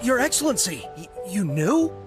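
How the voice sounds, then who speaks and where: High-pitched, impassioned young soldier. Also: male voice, big pitch swings, moderate pace. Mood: startled. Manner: earnest, pleading, impassioned. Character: fervent young male soldier, loyal and desperate. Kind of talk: video game voice acting. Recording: clear background music under the dialogue.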